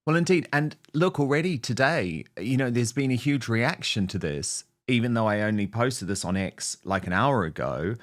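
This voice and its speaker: A male talk show host with a mid-pitched voice. He delivers commentary and reads out messages in an emphatic, indignant way. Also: expressive, normal speed, few pauses, fairly bright tone.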